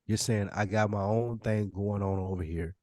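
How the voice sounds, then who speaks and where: A man with a fairly low voice talks on a casual podcast panel. He sounds blunt and matter-of-fact and swears freely. The recording is very dry and close. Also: steady tone, moderate pace, a little mellow, no room sound. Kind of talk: casual chat.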